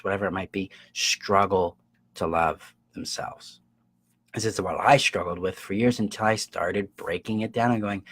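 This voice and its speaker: A man's voice: on the low side, fairly bright tone, slightly rough, no room sound. A man giving an explanatory self-help coaching talk straight to viewers, stressing key words emphatically and checking in with listeners. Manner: emphatic, acts out imagined quotes, checks in with listeners.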